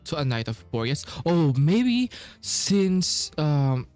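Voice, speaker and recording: A man giving casual playthrough commentary as a game streamer, halting as he thinks aloud. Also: fairly high pitch, sing-song, a little mellow, clean tone. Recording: game music behind voice. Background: video game music.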